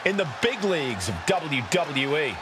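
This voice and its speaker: A man's voice: on the high side, very animated, moderate pace, music in the background. A man voicing a male wrestling announcer in a game, with dramatic, hyping delivery. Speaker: commentator. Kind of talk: dramatic commentary. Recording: loud crowd and arena noise.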